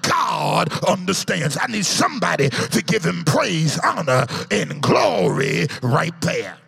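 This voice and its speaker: A man with a raspy, gravelly voice preaching a fervent gospel sermon, exhorting in an impassioned half-sung cadence with repeated refrains. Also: high voice, big pitch swings, slow, fairly bright tone.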